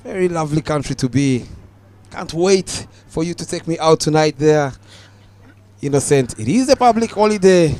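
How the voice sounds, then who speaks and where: A young Ugandan man giving live cricket commentary, calm and measured, lifting his voice on the big moments. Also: fairly high pitch.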